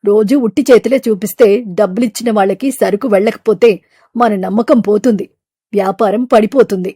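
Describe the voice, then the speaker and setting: A woman voicing a stern male eagle who runs the fruit market, talking in a blunt, domineering way. Her delivery is gruff and commanding. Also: mid-range voice, brisk, warm tone, dry recording, studio quality, close to an everyday voice. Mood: scolding. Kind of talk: animated storybook voice acting.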